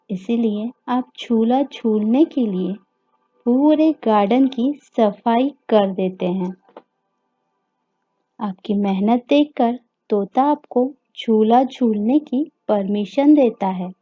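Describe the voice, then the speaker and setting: Very slow-paced Indian woman guiding meditation. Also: fairly high pitch. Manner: gentle, simple instructions with deliberate pauses, addressing children. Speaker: guided meditation narrator for children. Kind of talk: guided meditation storytelling. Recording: dull lo-fi audio with music behind. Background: music.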